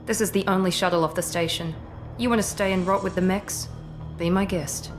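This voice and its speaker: A woman's voice: fairly low pitch, fairly even intonation, a little fast, lightly acted. An actress playing a cool, clipped woman officer who directs a secret project, speaking in a dry, faintly condescending manner. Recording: game audio with music score.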